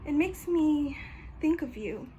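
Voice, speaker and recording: A woman's voice: sits high, expressive, warm tone, muffled recording. A young woman playing a shy, wistful, grieving teenage classmate in an amateur drama, speaking hesitantly. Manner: hesitant, halting delivery. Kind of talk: amateur stage drama monologue.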